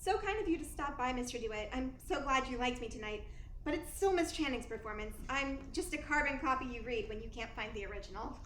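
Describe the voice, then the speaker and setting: A demure, calculating young stage actress, acted by a woman in a radio drama. She speaks high and earnestly, playing at modesty, in an echoey space with faint noise. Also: expressive, fast, a bit bright. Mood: demure, guarded. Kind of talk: radio play acting. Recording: roomy echo, faint background noise.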